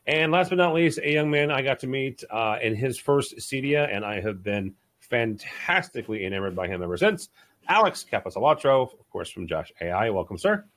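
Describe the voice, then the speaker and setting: A man's voice: mid-range voice, animated, moderate pace, a bit bright. Upbeat man hosting a talk podcast. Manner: jokey, upbeat introductions. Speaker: podcast host.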